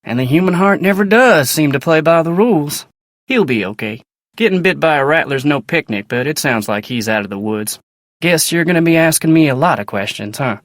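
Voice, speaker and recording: An actor playing a polite, earnest young ranch hand in a video game, with a folksy American Western drawl and a plainspoken way of talking. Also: male voice, fairly high pitch, lively intonation, a little fast, a bit bright, no room sound, studio quality. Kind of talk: video game character dialogue.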